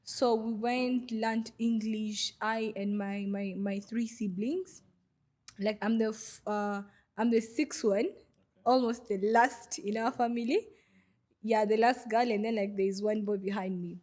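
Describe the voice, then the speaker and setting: A young woman from Goma in the DR Congo, talking as a guest on a podcast. Her voice sits a little high, and her story comes out unhurried and searching, full of "like" and "uh". It is close-miked in a studio. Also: steady tone. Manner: warm, hesitant storytelling with many fillers. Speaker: guest, refugee vendor. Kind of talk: podcast interview.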